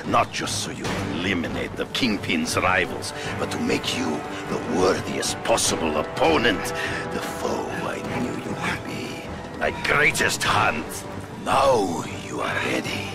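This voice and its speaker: A proud hunter villain from a video game, formal and grandiose. The voice is extremely low and thick with gravel, and it moves slowly and deliberately, with music behind it. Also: male voice, very animated, full character voice. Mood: menacing, exultant. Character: proud, menacing male hunter villain obsessed with honor.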